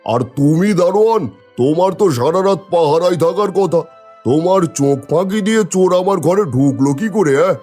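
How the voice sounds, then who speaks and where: Man as a stern, mellow-toned cartoon landlord. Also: high voice, animated, a little fast, faint music behind. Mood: stern, scolding. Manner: commanding, scolding. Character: stern, authoritative male village landlord. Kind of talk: cartoon voice acting.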